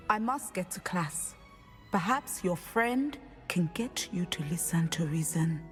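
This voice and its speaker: Stern Southern African mother, low-voiced. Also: animated, slow, soft background music. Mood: stern concern. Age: middle-aged. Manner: formal, admonishing. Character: stern, protective witch mother and teacher. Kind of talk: video game voice acting.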